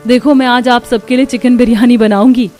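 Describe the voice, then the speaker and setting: A woman's voice: on the high side, steady tone, fairly quick, dark tone, natural voice. A woman voicing a kind, humble, hardworking young village mother in a cartoon. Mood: cheerful.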